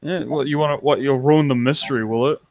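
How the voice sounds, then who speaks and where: A man's voice: medium pitch, fairly even intonation, fast talker, darkish tone, no echo, clean studio sound. A man born in England and raised in New Zealand, with a mixed English and New Zealand accent, chatting casually on a call and laughing at himself. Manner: self-deprecating, laughs often. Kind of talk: casual group chat.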